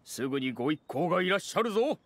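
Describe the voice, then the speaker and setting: A man's voice: a little high, sing-song, fast, fairly bright tone, no room sound, heavily acted. A man voicing a pompous, courtly elder forest guardian in a game, with archaic, dignified phrasing. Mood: stern, scolding. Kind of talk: Japanese anime game acting. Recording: faint music behind.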